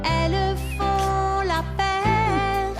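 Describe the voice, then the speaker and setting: A woman's voice: very high-pitched, steady tone, drawn-out words, over loud music, full character voice. A woman voicing a sweet, sincere girl singing a children's cartoon song. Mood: tender, happy. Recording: loud musical backing.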